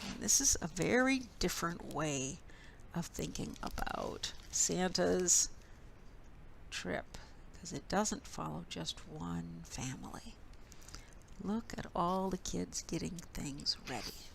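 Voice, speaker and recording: Female storytime reader for small kids, unhurried pace with a creaky edge, clear instructions and dramatic storybook phrasing. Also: lowish voice. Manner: simple, instructive phrasing addressed to small children. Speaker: children's librarian leading storytime. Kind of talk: storytime for young children, with rhymes, songs and read-aloud. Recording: steady background noise.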